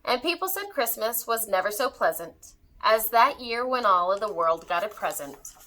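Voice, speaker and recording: A woman reads a children's story aloud in rhyme. Her voice is fairly high and bright, and her pitch moves up and down a great deal as she tells it expressively. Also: expressive, moderate pace, rough recording.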